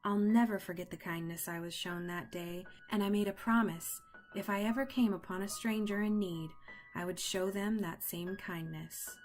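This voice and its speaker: A woman's voice: mid-range voice, fairly even intonation, normal speed, a little mellow, soft background music, close to an everyday voice. Woman voicing a grumpy old male turtle. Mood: kindly.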